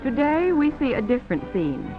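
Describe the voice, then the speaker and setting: A thin, band-limited 1940s film soundtrack carries a fairly high-pitched American woman's voice. She narrates a training film from a script, formal and instructive throughout. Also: big pitch swings, moderate pace, phone-quality sound, over music.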